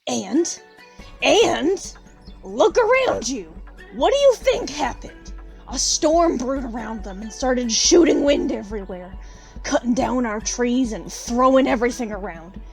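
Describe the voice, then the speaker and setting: A woman's voice: fairly high pitch, sing-song, a little slow, vocal fry, music in the background, full character voice. A woman playing a poor, plain-spoken country widow, bitter and unsentimental, in an audio drama. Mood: agitated.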